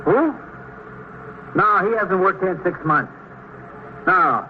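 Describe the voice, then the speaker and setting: A man's voice: high-pitched, animated, slow pace. An American man playing a talkative, wry laundromat worker in a 1950s radio drama. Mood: harried. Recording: dull old radio recording, faint noise.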